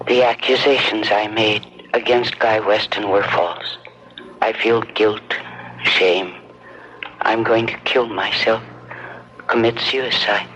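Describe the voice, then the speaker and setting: Radio drama taped confessor: lowish, halting, resigned, faint hiss. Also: male voice, fairly even intonation, bright, forceful tone, poor audio. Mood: grim confession. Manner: halting, deliberate. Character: slow, flat taped confession, resigned and ashamed. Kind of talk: old-time radio drama acting. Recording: hissy tape played over phone.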